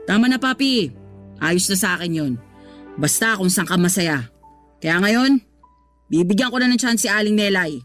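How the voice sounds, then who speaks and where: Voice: mid-range voice, animated, normal speed, long pauses, fairly bright tone, natural voice. An actor playing a teasing, protective grown child of a single father in a Tagalog radio drama, playful and coaxing. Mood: accepting. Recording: music bed under the voice.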